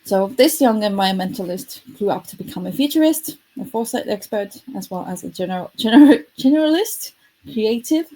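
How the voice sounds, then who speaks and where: A woman's voice: mid-range voice, expressive, a little fast, mellow, poor audio. A woman in her thirties from Estonia, speaking English, giving a live online presentation in an earnest manner, often correcting herself. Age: 30s. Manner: earnest, self-correcting, lightly self-deprecating. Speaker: futurist presenting her product.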